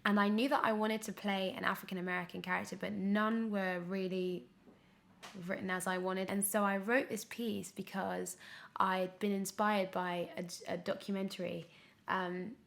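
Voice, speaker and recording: A woman in her twenties chatting casually as an interview guest, candid and animated, often correcting herself mid-thought. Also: mid-range voice, moderate pace, gravelly. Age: 20s. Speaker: guest, young actor.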